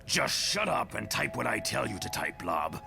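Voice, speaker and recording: A man's voice: on the low side, very animated, moderate pace, creaky, bright, forceful tone, soft background music, full character voice. A man voicing an impatient, bossy mutant villain in a motion comic, barking with contempt. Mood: bossy, scheming.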